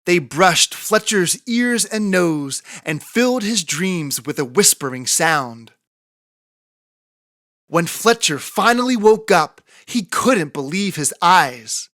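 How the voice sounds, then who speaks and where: A woman narrating a children's picture book in an expressive read-aloud style with dramatic emphasis. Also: fairly low pitch, takes it slowly, no room sound, studio quality. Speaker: children's story reader.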